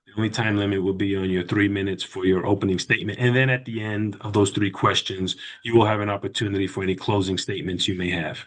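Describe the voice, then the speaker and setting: A man's voice: lowish voice, fairly even intonation, fast, few pauses. A man chairing a public board meeting who lays out the procedure politely and carefully, with many fillers.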